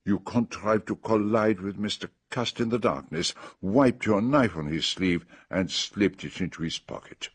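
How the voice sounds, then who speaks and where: Shrewd older Belgian sleuth: French-speaking accent, low, dry studio sound, fussy and theatrical. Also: male voice, breathy edge, no room sound. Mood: methodical, explaining. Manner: precise, deliberate, theatrical. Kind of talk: radio drama acting.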